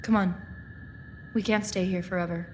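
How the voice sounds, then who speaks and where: A woman acting in an audio drama as a dry, sarcastic but loyal traveling companion with a deadpan manner. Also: on the low side, moderate pace, slight background noise, natural voice. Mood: wary. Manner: deadpan, sardonic.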